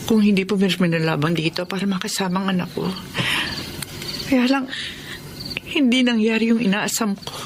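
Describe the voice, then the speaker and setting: A woman's voice: medium pitch, normal speed, pauses often, background noise, lightly acted. Woman acting a proud, wounded Filipino mother. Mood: wounded regret. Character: proud, stubborn, wounded middle-aged mother. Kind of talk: Filipino radio drama acting.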